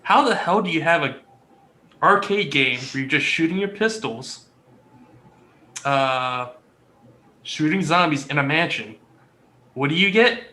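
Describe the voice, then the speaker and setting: Sharp bright guy voice, kinda high, blunt sweary teasing on a gaming panel. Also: lively intonation, a little slow, pauses often. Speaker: panelist on a gaming discussion show. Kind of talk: casual chat, teasing debate.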